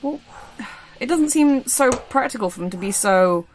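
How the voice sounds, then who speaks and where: A woman's voice: fairly high pitch, animated, normal speed, slight background noise, natural voice. A woman playing a confident, observant security operative in an audio drama, with a blunt, dry way of talking. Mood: businesslike. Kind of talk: naturalistic audio drama acting.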